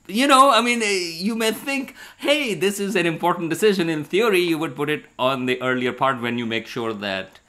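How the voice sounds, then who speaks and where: A man's voice: a little high, animated, moderate pace, hardly any pauses, pushed and bright. A middle-aged man in a casual science conversation, speaking as an educator and co-host into a handheld microphone indoors. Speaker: astronomy educator and show co-host. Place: bright room at a table indoors. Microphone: handheld interview microphone held out to him.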